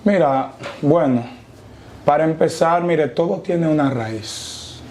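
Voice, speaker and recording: A young Dominican man who speaks with a preacher's cadence, slowing down to stress each idea. His voice sits slightly high for a man but sounds dark and mellow, with a gravelly fry at the ends of phrases. He quotes Bible verses at a deliberate pace. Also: slight background noise. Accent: Dominican Spanish. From Dominican Republic. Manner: preacherly, quotes scripture, slow emphatic pauses. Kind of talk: testimony interview.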